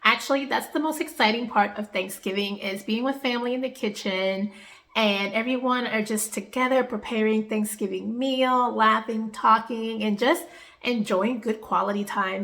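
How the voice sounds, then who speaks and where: A woman's voice: fairly high pitch, moderate pace, a bit bright. A young woman with a congested, nasal voice, chatting cheerfully and casually to camera in a home vlog. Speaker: vlogger.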